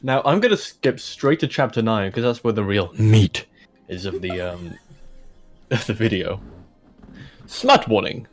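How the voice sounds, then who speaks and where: A man's voice: lowish voice, animated, unhurried, long pauses, over music. A man doing a jokey read-aloud of fan fiction, dropping in casual asides as he goes. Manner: breaks into laughter and commentary while reading. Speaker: host of a fan fiction reading video.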